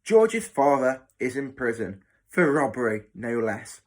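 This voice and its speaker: A man's voice: mid-range voice, big pitch swings, a little slow. A man voicing a cruel, bossy hospital matron in a children's story, snapping and mocking with sarcasm. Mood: sneering, sarcastic.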